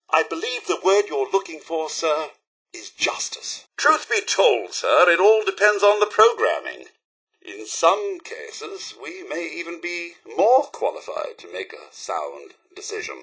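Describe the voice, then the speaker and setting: An actor playing a fussy, polite and loyal robot butler in a video game, with a British accent and formal, prim, theatrical delivery. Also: male voice, fairly high pitch, pushed and bright, breathy edge, heavily acted. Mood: indignant, reproachful. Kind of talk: video game companion dialogue.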